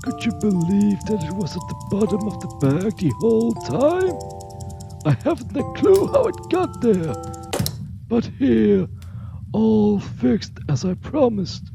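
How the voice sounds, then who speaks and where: A man's voice: sits high, big pitch swings, a little slow, vocal fry, heavily acted. A man playing a kindly, doting older godfather in an amateur audio drama, genial and theatrical. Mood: jovial, fond. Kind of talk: amateur audio roleplay acting. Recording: music behind the voice.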